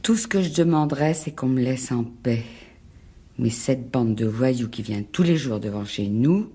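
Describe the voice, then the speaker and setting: An actress playing an anxious, fragile wife in a radio mystery drama, husky, plaintive and reproachful. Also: female voice, very deep voice, animated, measured pace, warm tone, exaggerated voice. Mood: fearful, agitated. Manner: plaintive, pleading.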